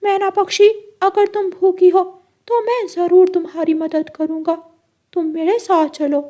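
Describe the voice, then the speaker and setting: Cartoon parrot, male, played by a woman, indignant and accusing, very high-pitched and loud. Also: steady tone, measured pace, highs cut off. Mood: earnest. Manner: shrill, accusing, exclaiming. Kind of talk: Hindi cartoon story voice acting.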